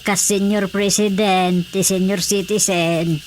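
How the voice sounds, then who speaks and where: A woman's voice: medium pitch, level tone, slow, faint music behind, natural voice, poor audio. A woman playing a compassionate, plain-spoken older village wife with an Ilocano accent in a comic radio drama, earnest in manner. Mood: concerned.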